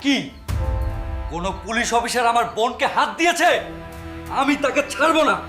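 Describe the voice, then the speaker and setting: A man's voice: very high-pitched, expressive, fairly quick, music in the background. A man playing a fiercely protective brother, an army officer, in a dramatised moral story. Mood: angry, confronting.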